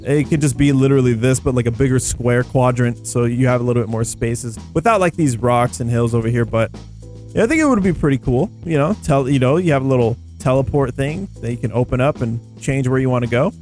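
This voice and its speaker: A man giving casual gameplay commentary in an excitable, slangy, rambling way, often repeating phrases as he thinks aloud. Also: mid-range voice, brisk. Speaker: video game YouTuber and host. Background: background music.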